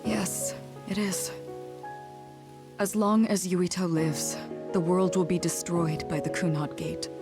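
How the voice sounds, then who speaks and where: An actress playing an earnest, composed woman in a sci-fi video game cutscene, speaking in a measured, clearly enunciated way. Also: on the low side, fairly even intonation, music in the background. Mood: solemn, explaining.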